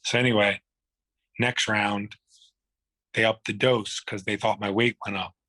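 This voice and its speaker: A man's voice: lowish voice, level tone, a little slow, bright, forceful tone, no echo. A man, telling his own illness story in a blunt, profane, rambling way. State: hoarse. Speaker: guest. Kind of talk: candid personal storytelling.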